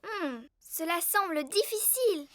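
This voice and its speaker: Eager, very high-voiced animal child. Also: female voice, expressive, unhurried, a bit bright, dry recording, studio quality, exaggerated voice. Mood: eager, curious. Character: eager, curious young animal schoolchild. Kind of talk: preschool cartoon dubbing.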